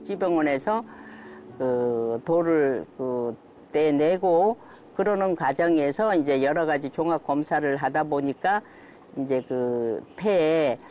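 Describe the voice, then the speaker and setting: A woman giving an unscripted interview, recounting things in a halting, plain conversational way. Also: deep voice, mellow, soft background music. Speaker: patient interviewee.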